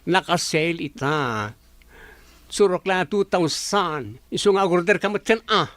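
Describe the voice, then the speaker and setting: A woman's voice: on the low side, lively intonation, a little slow, no echo. A woman playing a flamboyant, vain young friend in an Ilocano comedy drama, teasing and drawing out her words. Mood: showy, teasing.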